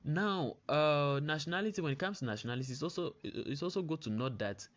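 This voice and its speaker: A man's voice: a little high, fairly quick, fairly bright tone. A man with a Cameroonian accent giving an explanatory lesson as a teacher, didactic, repeating and rephrasing his key phrases. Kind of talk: spoken lesson, explanatory lecture. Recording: dull, lo-fi sound.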